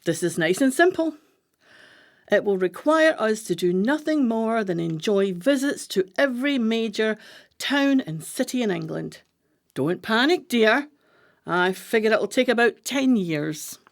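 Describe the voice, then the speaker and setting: An actress playing a brisk-minded, commanding woman plotter in a radio play, with a deliberate, declamatory delivery. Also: on the high side, expressive, creaky. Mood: brisk, assured.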